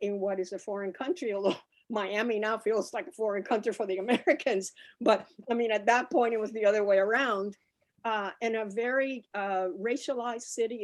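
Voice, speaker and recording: A woman's voice: medium pitch, a little mellow. Cuban-born woman in her sixties reminiscing. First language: Spanish. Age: 60s. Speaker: panelist. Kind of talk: reminiscing panel talk.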